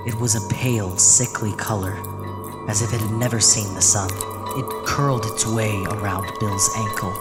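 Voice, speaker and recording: A man's voice: on the low side, steady tone, moderate pace, close to an everyday voice. An actor playing a meek, haunted man in a horror audio drama, narrating his own story with a hesitant, anxious delivery. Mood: horrified. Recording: music behind the voice.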